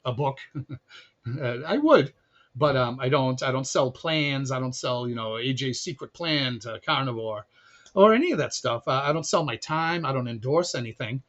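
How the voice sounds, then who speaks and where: A man's voice: mid-range voice, moderate pace, a bit bright. A man from Chicago chatting as a podcast guest, talking freely with many restarts and laughs. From Chicago, USA. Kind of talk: casual conversation. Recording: dull lo-fi audio.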